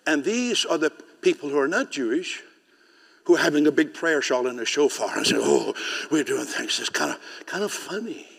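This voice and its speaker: A man preaching a sermon in English, which is not his first language, swinging from loud rising declarations to soft, chatty asides and often repeating words as he starts a phrase. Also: on the high side, expressive, moderate pace, vocal fry, in a large hall.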